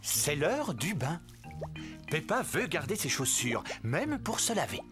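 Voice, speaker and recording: Low-voiced cartoon storyteller. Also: female voice, sing-song, unhurried, music in the background. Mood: amused, calm. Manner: simple declarative storytelling. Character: calm, friendly adult storybook narrator. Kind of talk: scripted children's cartoon narration.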